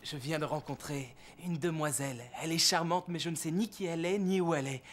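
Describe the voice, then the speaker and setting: A man playing a polite, earnest, smitten young gentleman in a French animated film dub. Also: on the high side, a little fast, lightly acted. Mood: lovestruck, bewildered. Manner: courteous, earnest.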